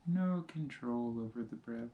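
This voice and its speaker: A man teaching meditation, giving calm, measured instructions in short phrases with pauses between them. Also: mid-range voice, narrow, tinny audio. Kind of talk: guided meditation, slow spoken instructions.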